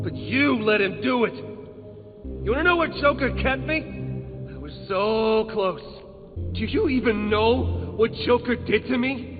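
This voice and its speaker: An actor playing a bitter, tormented young villain in a video game, speaking in a slow, accusing way. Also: male voice, extremely high pitch, exaggerated voice. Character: bitter vengeful young male villain, tormented by past torture. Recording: dull lo-fi, long reverb, music behind.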